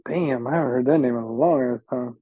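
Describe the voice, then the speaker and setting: A man's voice: medium pitch, fast, slightly rough, dry recording, narrow, tinny audio. A man co-hosting a sports podcast, chatting about soccer in an informal, slangy way. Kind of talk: casual soccer chat.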